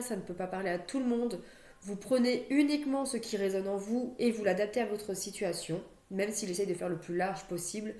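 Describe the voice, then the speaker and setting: A woman's voice: mid-range voice, warm tone, roomy sound. A young woman with a cold doing a chatty tarot card reading at home, with fillers and restarts, recorded on a computer mic. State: has a cold, coughing. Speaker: tarot reader. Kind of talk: monthly astrology card reading. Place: home, near a stairwell.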